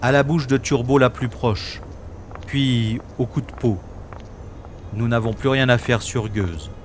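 A man's voice: medium pitch, unhurried, a little hiss or hum, close to an everyday voice. A man playing a cool-headed, sardonic special agent in a French-style science-fiction audio drama. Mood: businesslike. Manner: sardonic, flippant, unflappable.

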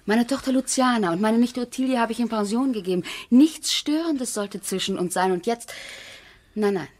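An actress playing a thoughtful, wary aristocratic wife in a radio drama, with measured, intimate, softly breathy delivery. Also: female voice, fairly high pitch, natural voice. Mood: anxious, pleading. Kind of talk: 1970s German radio drama acting.

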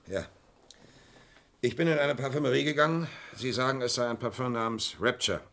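An actor playing a matter-of-fact, quietly sharp detective assistant in a crime radio play, dry and understated. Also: male voice, medium pitch, fairly quick, lightly acted. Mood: dry, factual.

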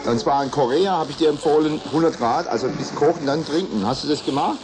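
A man hosting a call-in radio show from the studio, teasing callers with quick jokes. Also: fairly high pitch, low-quality recording. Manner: playful teasing, quick quips. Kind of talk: joking call-in radio banter. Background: loud music.

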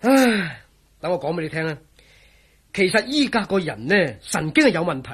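A man playing a scoffing, jovial friend in a radio drama, teasing with drawn-out exclamations. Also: sits high, very animated, a bit bright, breathy edge, exaggerated voice. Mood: scoffing, amused. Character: scoffing, jovial man, mocking a believer in legends. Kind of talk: Cantonese radio drama acting.

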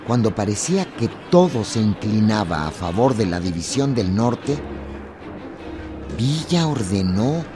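A man's voice: fairly low pitch, big pitch swings, a little slow, over music. A man narrating a scripted historical radio drama.

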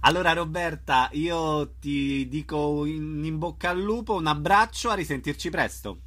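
A man's voice: a little high, measured pace, fairly bright tone. A man hosting a conversational interview, polite and attentive, with frequent short acknowledgements. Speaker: radio interviewer.

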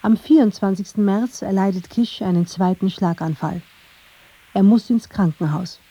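Very dark-voiced woman narrator, muffled sound. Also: mid-range voice, slightly rough. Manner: measured, factual. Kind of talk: scripted documentary narration.

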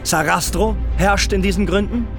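An actor playing an earnest, brave young prince in a radio drama, declaiming rhymed verse with fervour. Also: male voice, sits high, normal speed, music in the background. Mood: resolute. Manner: declamatory, fervent.

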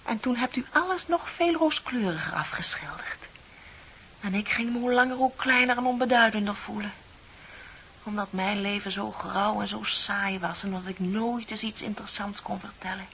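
A composed, bitter woman clerk in her thirties, played by an actress in an old radio play. She speaks deliberately and theatrically, each point meant to needle, at a middling pitch. The recording is dull, with clear hiss. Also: fairly bright tone, natural voice. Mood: wistful, bitter. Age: middle-aged.

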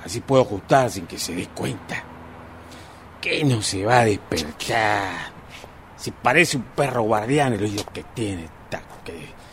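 A man's voice: lowish voice, lively intonation, measured pace, a bit bright, slight background noise. A man with an Argentine Rioplatense accent playing a grumbling, tipsy husband in a radio drama, muttering exasperated complaints to himself. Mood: grumbling, secretive. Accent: Argentine Rioplatense Spanish. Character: grumbling tipsy husband stumbling home at night.

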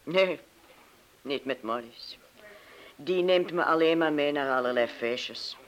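A man's voice: a little high, slow pace, fairly bright tone. A man acting in a radio play as a disillusioned, talkative young partygoer with a probing, cajoling manner. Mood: world-weary, scornful.

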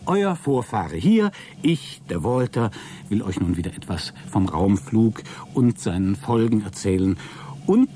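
Smug, lecherous male astronaut, radio satire. Grandiloquent, wheedling, very animated pitch, some background noise. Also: a little slow. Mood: grandiloquent, cheery. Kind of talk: satirical science-fiction radio drama acting.

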